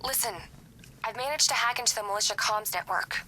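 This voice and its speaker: A woman playing a capable, wry young hacker ally in a video game, talking in a brisk, matter-of-fact way. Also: on the high side, sharp tone, a little hiss or hum. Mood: helpful, wry. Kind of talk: video game cutscene acting.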